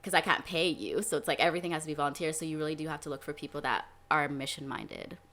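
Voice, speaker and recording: A woman speaks in a low register at a rapid clip. She is an interview guest, frank and informal, chatting through her points in conversational phrasing with frequent small check-ins. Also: steady tone, a bit bright. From Netherlands and Canada. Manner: candid, informal, frequent "like" and tag questions. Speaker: interview guest, community group founder.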